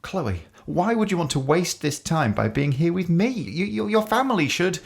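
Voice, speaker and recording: A man's voice: on the high side, very animated, normal speed, creaky, breathy edge, full character voice. An actor playing a panicked, self-loathing young man who uses drugs, stammering and hesitant, in a naturalistic audio drama. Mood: distressed, self-loathing.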